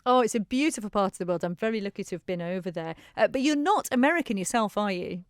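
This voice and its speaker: A woman's voice: medium pitch, animated, fast talker, no echo. A woman presenting a live radio show in a studio, interviewing guests with bubbly enthusiasm and a Manx accent.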